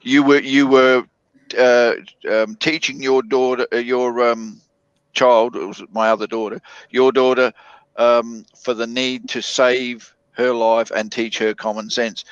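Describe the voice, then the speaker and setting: Halting man in casual chat, dull dry sound. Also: medium pitch, little pitch movement, smooth, no echo. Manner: halting, with many restarts and fillers.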